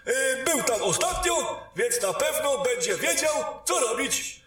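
Fussy fantasy steward, man acting: very high pitch, hesitant, officious, distant in echo. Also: lively intonation, unhurried, fairly bright tone, heavily acted. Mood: nervously formal. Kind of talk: fantasy audio drama acting. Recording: strong echo, distant, faint music.

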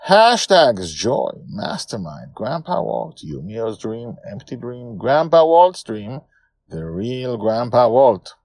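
A man's voice: medium pitch, no room sound. A man hosting a story podcast, talking informally and emphatically about his own serial. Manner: animated, emphatic, self-correcting.

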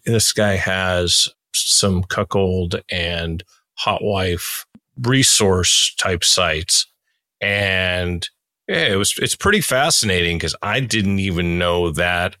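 A man's voice: deep voice, pushed and bright, dry recording. A man hosting a casual interview podcast in a laid-back, conversational way. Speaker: podcast host.

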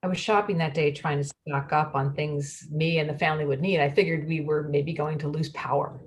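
A woman's voice: low voice, steady tone, fairly quick. A woman reading a monologue in a plain conversational way about being caught out by a storm. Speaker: reader performing a monologue. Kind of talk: readers theatre monologue.

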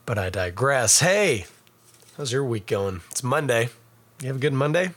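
A middle-aged Canadian man, hoarse and raspy from a cold, hosting a casual podcast and rambling in a self-mocking way to viewers. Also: mid-range voice, big pitch swings, normal speed, fairly bright tone. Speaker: podcast host. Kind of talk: casual live-stream chat.

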